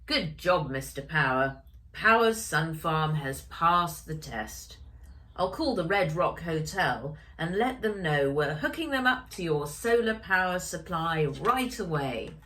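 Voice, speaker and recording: A formal male inspector from a children's storybook, played by a woman reader. He is approving and official, and takes his words slowly. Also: low voice, lively intonation, a bit bright.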